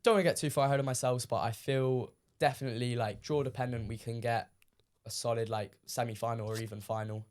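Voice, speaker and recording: A teenage man from the UK, a guest on a podcast, talking casually with lots of ums, his voice a little croaky from shouting. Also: mid-range voice, quick pace, hardly any pauses. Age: teens. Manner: relaxed, many ums, recounting matches. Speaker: guest player.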